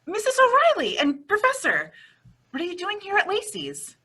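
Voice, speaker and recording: A woman playing a sensible, exasperated young woman with a wry, brisk manner in an old-time radio comedy. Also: very high-pitched, wildly swooping pitch, pushed and bright, full character voice.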